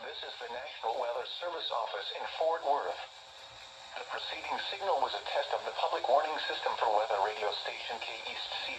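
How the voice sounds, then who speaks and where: Noisy distant radio announcer, formal man. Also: medium pitch. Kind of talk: scripted public warning test announcement.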